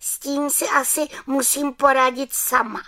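Woman doing a high, plucky little witch. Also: level tone, sharp tone. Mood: determined. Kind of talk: storybook character voice.

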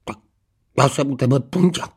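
A man's voice: medium pitch, darkish tone, breathy edge, pitched above the performer's own voice. This is a man acting out a storybook father who thinks himself master of the house. He blusters and growls with wounded indignation. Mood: indignant.

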